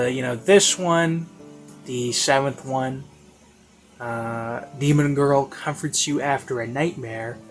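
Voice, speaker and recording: Male, mid-pitched, off-the-cuff reviewer. Rambling, hesitant delivery with frequent searching pauses, classical music bed behind. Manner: hesitant, halting, thinking aloud. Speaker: reviewer of audio series. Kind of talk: casual rambling spoken review.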